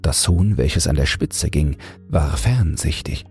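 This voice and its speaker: A man with a soft, breathy voice narrating a fairy tale as a bedtime audiobook. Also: rumbling low, lively intonation, slow pace. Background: soft music.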